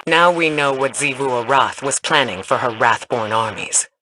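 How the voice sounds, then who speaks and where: An actress playing a composed, scholarly female commander in a video game, measured, articulate and grave. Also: rumbling low, background noise. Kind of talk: scripted video game dialogue.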